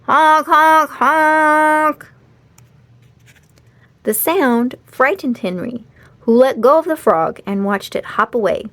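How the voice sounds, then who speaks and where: Woman, storybook narrator, expressive read-aloud, fairly high pitch. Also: unhurried.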